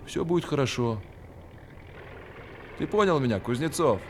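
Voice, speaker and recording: A man plays a fatherly army major in a radio drama, with vehicle noise behind him. He gives short, controlled orders in a mid-pitched voice and steadies his men with calm reassurance. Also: moderate pace, natural voice. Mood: calm, reassuring. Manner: clipped commands softened by calm reassurance. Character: steady, fatherly army major commanding a dangerous munitions operation. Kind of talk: Soviet radio drama acting.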